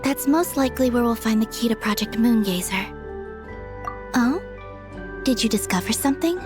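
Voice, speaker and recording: A woman acting as a calm young female investigator from a fantasy game. Her voice is fairly high, soft-spoken and measured, thoughtful and gently kind, with music playing behind it. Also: lightly acted. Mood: thoughtful, analytical.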